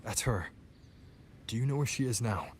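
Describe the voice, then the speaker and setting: A man playing a calm, reassuring young wandering hero in a video game, speaking in a gentle, composed, restrained way. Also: mid-range voice, moderate pace, a little hiss or hum, natural voice. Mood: concerned, questioning. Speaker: game protagonist. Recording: music behind the dialogue.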